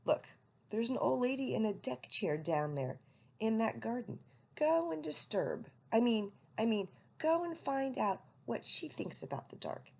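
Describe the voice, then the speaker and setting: Brief: weary mother owl, read by a woman, slow and steady, slightly gravelly. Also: on the high side, heavily acted. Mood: coaxing. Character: patient, tired mother barn owl.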